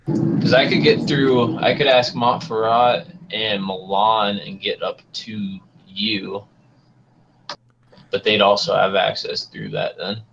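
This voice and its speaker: Low-voiced man on muffled voice chat. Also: steady tone, slow, vocal fry, fairly bright tone, slight background noise. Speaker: fellow player. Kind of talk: casual multiplayer voice chat.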